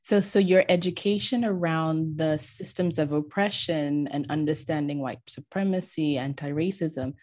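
A woman with a low voice hosts an interview show. She is effusive and leans into her words with emphasis, speaking directly to her guest in an upbeat conversational style. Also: normal speed, few pauses, a little mellow. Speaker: show host.

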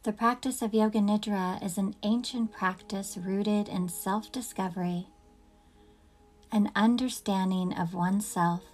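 A woman leading a guided yoga nidra meditation in a gentle, soothing, unhurried manner with long pauses. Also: mid-range voice.

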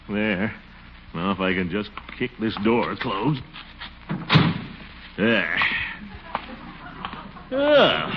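Casting: put-upon, pompous American commissioner for vintage radio comedy; swooping delivery, muffled noisy recording. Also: male voice, fairly low pitch, exaggerated voice. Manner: exasperated, pompous bluster, muttering and chuckling. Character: blustery, put-upon middle-aged commissioner saddled with a baby.

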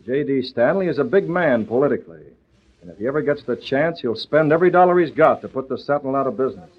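An actor playing a principled young newspaper publisher, crisp and authoritative, in 1940s radio drama style. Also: male voice, mid-range voice, lively intonation, fast. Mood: firm, serious. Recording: dull old radio recording.

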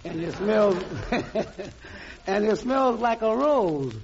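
High-voiced man, slow vintage radio banter. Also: animated. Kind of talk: old-time radio comedy banter. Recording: noisy lo-fi vintage radio with crowd.

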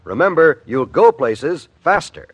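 A man's voice: on the high side, very animated, unhurried, heavy background noise. A man with an American accent reading a scripted 1950s radio recruitment ad in an emphatic, declamatory announcer style.

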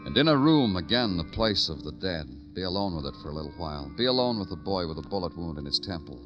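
An actor playing a weary, brooding police detective, narrating his beat in deliberate, poetic 1950s radio noir style. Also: sits low, sing-song, highs cut off, over music. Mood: mournful. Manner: deliberate, lyrical, somber.

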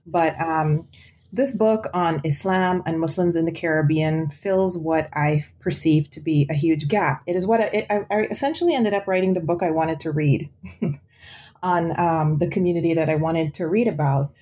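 A woman's voice: sits low, moderate pace, darkish tone, a little hiss or hum. A woman from Guyana, an author discussing her book in an interview, thoughtful with frequent ums and restarts. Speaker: author and guest. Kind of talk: academic interview conversation.

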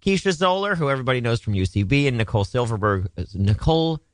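A man's voice: mid-range voice, lively intonation, fast, no room sound, clean studio sound. A man hosting a casual comedy podcast, playful and joking, laughing often. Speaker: podcast host.

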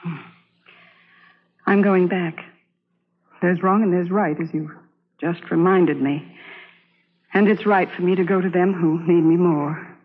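A woman's voice: fairly low pitch, steady tone, unhurried, long pauses, slightly rough, narrow, tinny audio. A woman playing an earnest, resolute young Civil War army nurse in old-time radio drama style. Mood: tender. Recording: band-limited vintage radio recording.

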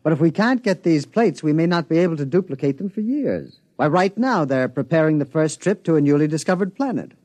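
An actor playing an earnest, resourceful observatory scientist, polite and articulate, in old-time radio drama style. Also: male voice, a little high, lively intonation, fairly quick, few pauses, darkish tone, no echo. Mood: urgent, earnest.

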